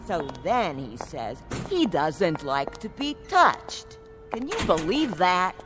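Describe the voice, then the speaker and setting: Concerned gal pal: low, lively intonation, cautious, radio drama over music. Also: female voice, takes it slowly. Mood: gossipy. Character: cautious, friendly, concerned female nightclub co-worker. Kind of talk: radio play acting.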